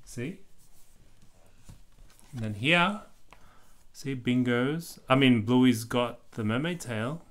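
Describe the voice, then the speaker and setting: A man's voice: medium pitch, animated, slow pace, pauses often, a bit bright. A man reading a picture book aloud to a small child, explaining the pictures and asking questions in casual asides.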